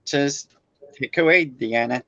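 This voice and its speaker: A man hosting a podcast in casual, halting, loosely phrased chat. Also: mid-range voice, fairly bright tone, no room sound, highs cut off. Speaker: podcast host. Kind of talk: casual remote interview chat.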